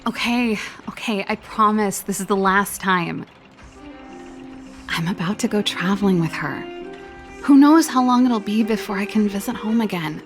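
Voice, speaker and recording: A woman voicing a spirited, wistful young elder sister who invents and plays music in a video game, with a breezy, expressive delivery. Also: medium pitch, moderate pace, lightly acted. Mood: playful, breezy. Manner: breezy, expressive, with sighs and trailing reflection. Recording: studio voice over background music. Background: game background music.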